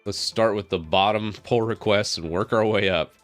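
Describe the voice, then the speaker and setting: A man, slightly raspy from a head cold, talks casually on a live stream, thinking aloud as he works with lots of fillers and small laughs. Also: on the low side, normal speed, faint music behind. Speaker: tech streamer. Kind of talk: casual live stream talk while working on screen.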